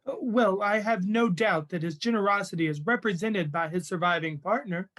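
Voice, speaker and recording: A man playing a hesitant, polite charity gentleman. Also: high voice, moderate pace. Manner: courteous, hesitant. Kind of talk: radio play acting.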